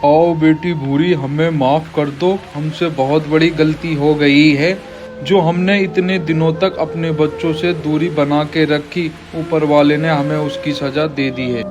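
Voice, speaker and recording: Guy doing a soft old father bird in a cartoon, flat monotone, far off mic with loud music under. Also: a little high, a little slow, highs cut off, natural voice. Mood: remorseful. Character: elderly grieving father-in-law bird, gentle and remorseful. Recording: distant voice over loud music.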